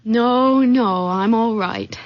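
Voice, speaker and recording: Bewildered young woman, very high voice, breathless, emotional, old radio mystery, dull lo-fi sound. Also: slow pace. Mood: moved, nervous. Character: excitable young engaged woman, bewildered and easily overwhelmed. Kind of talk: radio drama acting. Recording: muffled vintage radio drama recording.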